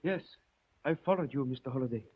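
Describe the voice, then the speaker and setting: A male actor plays a courteous but dangerous Chinese avenger from 1940s radio drama. His voice is rough-edged and his phrasing formal and measured. The sound is dull, aged and close, with little room around it. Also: mid-range voice, no echo, lightly acted. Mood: grave, polite. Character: polite, menacing Chinese man avenging his murdered father. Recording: muffled 1940s radio recording.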